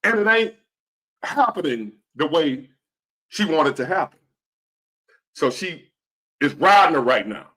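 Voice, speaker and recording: A man in his fifties giving earnest, preachy motivational talk to his viewers, repeating key phrases and swinging between loud and hushed. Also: fairly high pitch, very animated, measured pace, long pauses, low-quality recording. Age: 50s. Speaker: motivational vlogger.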